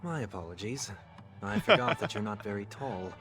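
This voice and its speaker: Lowish male voice, composed young fugitive, formal, measured, calculating, game dialogue, music underneath. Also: sing-song. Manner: formal, measured, coolly persuasive. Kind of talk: video game voice acting.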